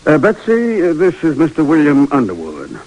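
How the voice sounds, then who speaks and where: An actor as a hemming old sea captain. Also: male voice, fairly high pitch, huge pitch swings, moderate pace, a little mellow, full character voice, rough recording. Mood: hesitant. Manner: hesitant, hemming, good-humored. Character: cautious older male sea captain, a father. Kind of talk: 1940s radio drama acting. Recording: old radio broadcast.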